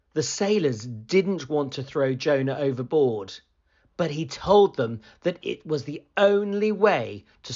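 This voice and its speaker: Storybook reader, male, slow, animated read-aloud delivery, muffled lo-fi recording. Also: medium pitch. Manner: slow, expressive storytelling, rising for excitement. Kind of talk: children's picture book read-aloud.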